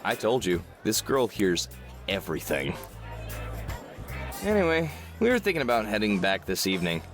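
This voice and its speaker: A laid-back, weary big brother in a visual novel. His voice is fairly low and moves around expressively, with a kindly, folksy ease. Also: huge pitch swings, normal speed, music in the background. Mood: easygoing. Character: easygoing, weary, kindly man, older brother.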